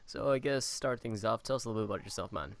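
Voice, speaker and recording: A man in his early twenties hosting an interview podcast, chatty and jokey, with quick reactions to his guest. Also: on the low side, vocal fry. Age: early 20s. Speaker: podcast host.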